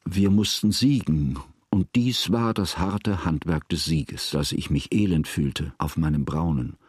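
Former soldier narrating a radio play, super low voice, confessional, formal, dry sound with music. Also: male voice, unhurried, a little mellow, breathy edge, dry recording. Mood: sombre, confessional. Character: sombre, confessional educated man, a former soldier. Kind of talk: literary radio drama narration. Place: studio.

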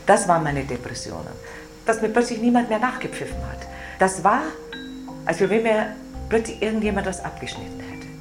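A woman's voice: medium pitch, expressive, brisk, over music. An older woman talking candidly in an interview, emphatic, setting down short weighted phrases one by one. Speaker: interviewee, retired performer.

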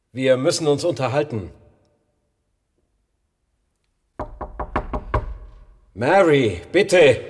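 An actor playing an impatient, controlling research boss, insistent and sardonic, in a radio play. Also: male voice, a little high, slightly rough. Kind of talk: German radio play acting. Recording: long hall reverb.

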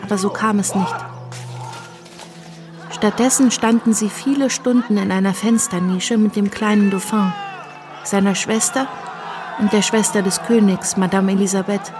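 An actress playing a reflective woman recalling revolutionary times in a radio play, in measured, sober first-person narration. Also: medium pitch, steady tone, over music, lightly acted. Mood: sober, wry.

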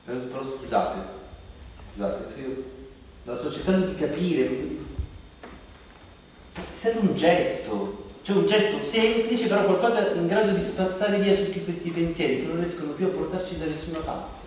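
An actor playing an anxious, haunted man, in a halting, repetitive, self-questioning theatrical monologue. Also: fairly high pitch, a little mellow, natural voice. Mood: earnest, searching. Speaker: stage actor. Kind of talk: theatrical monologue broadcast on radio. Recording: very echoey hall, distant mic, faint noise.

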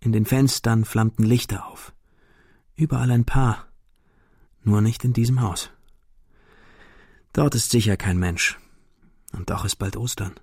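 A man's voice: fairly low pitch, steady tone, a little slow, pauses often, darkish tone, slightly rough, dry recording, studio quality. A man playing a lovelorn, melancholy young man, reading his letters aloud with brooding intimacy in a radio drama.

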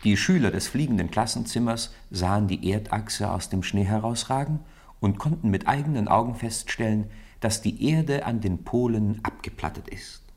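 A man's voice: fairly low pitch, moderate pace, darkish tone, breathy edge. A man narrating a children's radio play in scripted storybook style.

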